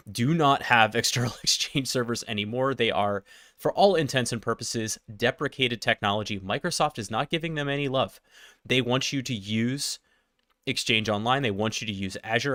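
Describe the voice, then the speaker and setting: A man's voice: on the low side, fairly bright tone, no room sound. A man hosting a casual tech news livestream, sniffly with a cold, chatty and laughing between points on a close mic. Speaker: livestream host.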